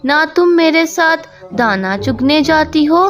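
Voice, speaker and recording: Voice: high-pitched, animated, takes it slowly, fairly bright tone, soft background music, exaggerated voice. A performer voicing a meek young male husband bird in a Hindi cartoon, plaintive and pleading. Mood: hurt, pleading.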